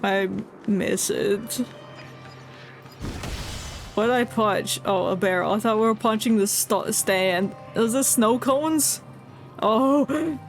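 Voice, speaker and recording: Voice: medium pitch, slow, pauses often. A video game streamer giving casual live commentary, rambling off into goofy asides. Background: game music.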